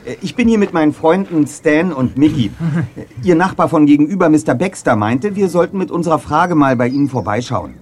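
Man playing a polite, higher-voiced teenage boy detective. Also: expressive, brisk, darkish tone, a little hiss or hum, natural voice. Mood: polite, hesitant. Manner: polite, hesitant, ingratiating. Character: teenage boy detective politely bluffing under a false name.

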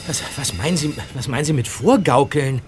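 A man's voice: a little high, a little fast, over music, natural voice. A man playing a hesitant, worried teenage detective in an audio drama. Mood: frightened. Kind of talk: German audio drama acting.

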